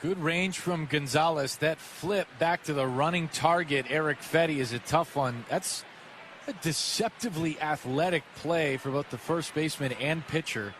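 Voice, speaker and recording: A man's voice: on the high side, moderate pace, fairly bright tone. A man giving live color commentary as a baseball radio analyst in a stadium booth. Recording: clear ambient noise behind voice.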